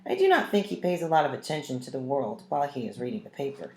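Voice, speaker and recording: Low-voiced woman reading a children's storybook aloud. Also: sing-song, fast, a little mellow, slight background noise. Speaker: read-aloud narrator. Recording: roomy, echoing room sound.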